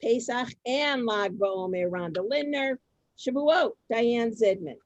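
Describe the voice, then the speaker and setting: A woman hosting an online event over a video call, chatting informally and haltingly, often repeating her phrases. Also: on the high side, dry recording, highs cut off. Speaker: host of an online reader's theater event.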